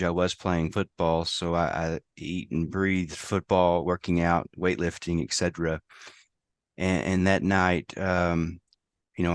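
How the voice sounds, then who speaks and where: A man from the United States, a safety professional, talking hoarsely in a casual interview, halting with many restarts. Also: sits low, no echo. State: hoarse from a lost voice.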